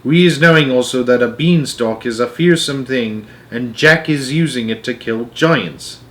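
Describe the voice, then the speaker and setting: A man's voice: medium pitch, a little slow, fairly bright tone, lightly acted. A man voicing a friendly, cautious storybook giant who explains things in quaint, garbled grammar. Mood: hushed explaining.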